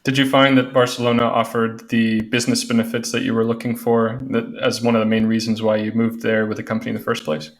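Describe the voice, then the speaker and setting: A man hosting a podcast interview, asking measured questions and giving brief replies. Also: mid-range voice, completely flat delivery. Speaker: podcast host.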